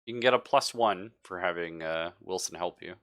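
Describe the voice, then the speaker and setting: A man running a tabletop horror roleplaying game, narrating scenes off the cuff in a casual, halting way. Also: deep voice, noisy background. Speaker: game master.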